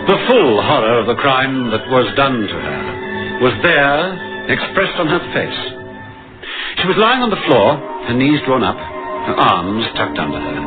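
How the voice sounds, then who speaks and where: An actor playing a measured, dryly grave police inspector narrating his case in an old radio crime drama. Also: medium pitch, natural voice. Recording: muffled old radio recording with music.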